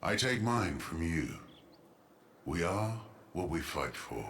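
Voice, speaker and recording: An actor playing a solemn, defiant guardian boss in a video game, speaking in grave, declamatory lines. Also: male voice, deep voice, very animated, takes it slowly, fairly bright tone, slight background noise, heavily acted. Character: solemn male guardian boss defying a stranger. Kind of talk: dramatic video game voice acting. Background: game music.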